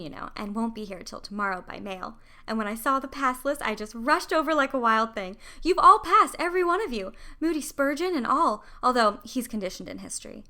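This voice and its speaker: A woman playing an excitable, devoted teenage best friend in a dramatised audiobook, talking in a breathless gush. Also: fairly high pitch. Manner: breathless, gushing. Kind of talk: dramatised audiobook character acting.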